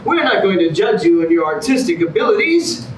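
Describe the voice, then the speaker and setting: An actor playing a showy, fawning reality contest host in a comedy staged reading. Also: male voice, sits high, big pitch swings, fairly quick. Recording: echoey, noisy, distant stage recording.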